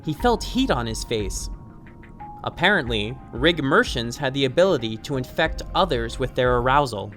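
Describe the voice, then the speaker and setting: A man's voice: medium pitch, big pitch swings, moderate pace, a bit bright, soft background music. A man reading scripted fiction as an audiobook narrator.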